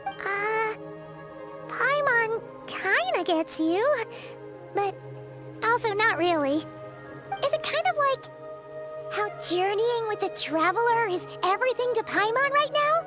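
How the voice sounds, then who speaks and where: A woman's voice: sing-song, slow, long pauses, full character voice. A woman voicing a small, childlike fairy companion in a video game, chirpy, curious and earnest. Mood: curious, thoughtful. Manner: refers to self in third person, squeaky and earnest. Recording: music playing behind.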